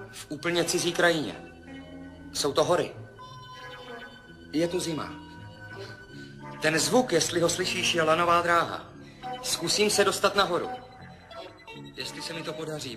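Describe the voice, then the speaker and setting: Guy playing a dazed scared pilot in an old 70s radio play, highish voice, halting, music under it. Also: close to an everyday voice. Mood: tense, observing. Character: dazed, frightened male pilot drifting between memory and death. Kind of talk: 1970s radio play acting. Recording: 1970s radio drama, loud music behind.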